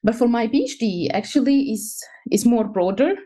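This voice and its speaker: A young woman from Egypt, a researcher answering interview questions in a halting way, with many fillers and restarts. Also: a little high, lively intonation, mellow. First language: Egyptian Arabic. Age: young adult. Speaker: guest researcher.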